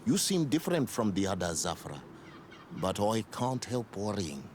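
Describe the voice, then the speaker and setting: A man's voice: lowish voice, sing-song, moderate pace, a little mellow, a little hiss or hum. An actor playing a grave, formal male village leader, wary but gracious, in a video game cutscene.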